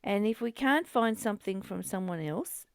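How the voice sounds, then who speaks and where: A woman reading aloud and explaining a spiritual text as the host of a study group, in a deliberate, informal teaching way. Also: medium pitch, expressive, clear, resonant tone, no room sound, clean studio sound.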